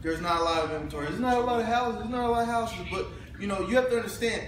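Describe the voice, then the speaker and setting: A high-voiced man talks about the housing market in an animated, joking way. He is recorded from far off in a very echoey room, with clear noise behind him. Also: very fast. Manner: animated, playful banter with repeated phrases. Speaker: realtor co-host. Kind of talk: casual promotional chat.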